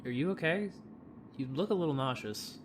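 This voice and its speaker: Easygoing, awkward young man played by an actor, hesitant, varied intonation over clear ambient noise. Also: a little high, lively intonation, a little fast, lightly acted. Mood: sheepish. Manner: hesitant, rambling. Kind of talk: naturalistic audio drama acting.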